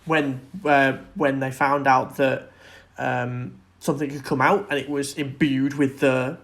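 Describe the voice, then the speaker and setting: A man's voice: medium pitch, flat delivery, unhurried, fairly bright tone. A man co-hosting a podcast in a casual, conversational discussion of pop culture. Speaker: podcast co-host.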